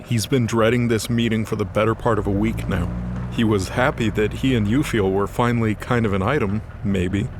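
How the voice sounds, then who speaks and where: A man's voice: fairly low pitch, fairly even intonation, moderate pace, noisy background. A man reading scripted narration for an audio drama in a dramatic storytelling style. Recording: faint music behind the voice.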